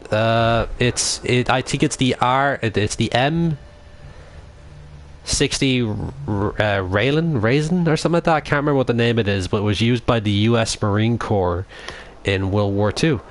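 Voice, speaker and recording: A man's voice: mid-range voice, moderate pace. A young Irish man from Dublin commentating his game live, rambling, swearing and answering chat. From Ireland. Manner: rambling, swears often, reads chat aloud. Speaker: livestreaming gamer. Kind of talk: casual live commentary while playing.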